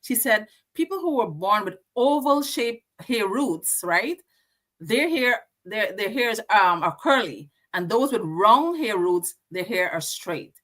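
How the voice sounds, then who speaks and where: A middle-aged woman from Guyana with a Guyanese accent, a guest telling lively stories in casual interview chat and laughing easily. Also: mid-range voice, measured pace, low-quality recording.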